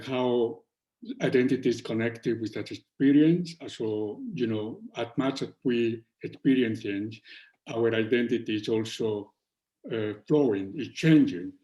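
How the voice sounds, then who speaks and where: A man speaking slowly and reflectively as a guest in a panel interview. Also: medium pitch, dark tone, reverberant, poor audio. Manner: slow and reflective.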